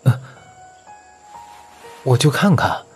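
A young man acting a hot-tempered but caring high school boy in a Chinese audio comic drama. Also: slow pace, lightly acted. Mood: concerned. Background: background music.

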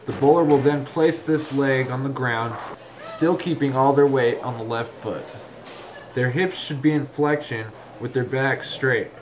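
A man reading a scripted instructional narration in a measured, formal, step-by-step way. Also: mid-range voice, slight background noise, rough recording.

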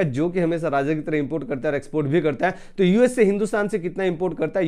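A man's voice: high voice, clear, resonant tone. Emphatic man lecturing on business. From India. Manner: emphatic, swinging from soft to loud, frequent hesitations. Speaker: business trainer. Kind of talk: educational talk to camera. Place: indoor studio with green screen. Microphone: lapel mic.

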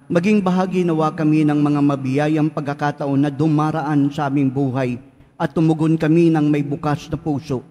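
Priest chanting Mass prayers in a church. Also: male voice, on the high side, fairly even intonation, warm tone. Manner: slow solemn liturgical recitation. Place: large church. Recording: echoey church hall.

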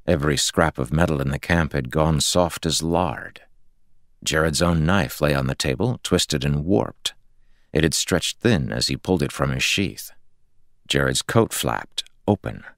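A man with an extremely deep voice narrates a fantasy audiobook. His tone has a gravelly creak, and the recording is dry and close. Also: unhurried, no echo. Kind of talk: scripted fantasy fiction narration.

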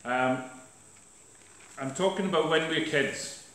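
A man's voice: a little high, slow, a bit bright. A man preaching a sermon in a church, informal and hesitant, with lots of fillers and restarts. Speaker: visiting preacher. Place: church sanctuary, at a lectern. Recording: echoey church hall with faint noise.

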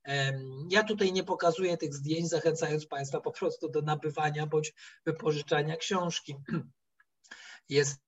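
Higher-voiced man lecturing, halting delivery. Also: steady tone. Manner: halting, digressive storytelling with repeated words. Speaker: history professor, guest lecturer. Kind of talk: spoken history lecture with slides. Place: indoor room, video call. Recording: dull, lo-fi online call audio.

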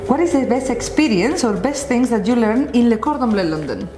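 A woman's voice: on the high side, very animated, a little fast, dark tone. A young woman hosting an interview, politely reading her questions from a card. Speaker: interviewer, host. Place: café-style room with sofa, indoors. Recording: roomy echo with music underneath.

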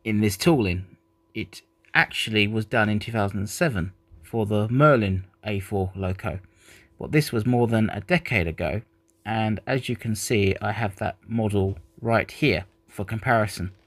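A man's voice: fairly low pitch, moderate pace, no room sound. A man who is not a native English speaker, chatting casually while unboxing a model train, rambling and correcting himself.

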